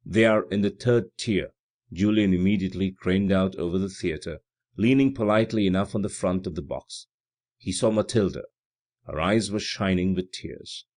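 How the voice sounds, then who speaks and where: A man's voice: low-pitched, normal speed, a little mellow. A man reading literary fiction aloud as scripted audiobook narration. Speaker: audiobook reader.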